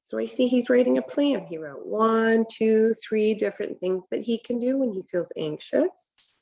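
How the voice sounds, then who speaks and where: A woman in her thirties reading a picture book aloud to children, deliberate and instructional, pausing to explain and ask questions. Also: on the high side, vocal fry, darkish tone, lo-fi sound. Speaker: read-aloud presenter for children. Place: home kitchen, indoors.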